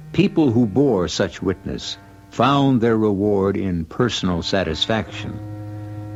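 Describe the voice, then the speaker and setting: A man hosting a radio drama with scripted narration, deliberate and portentous in manner. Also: fairly low pitch, darkish tone. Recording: dull lo-fi radio with music.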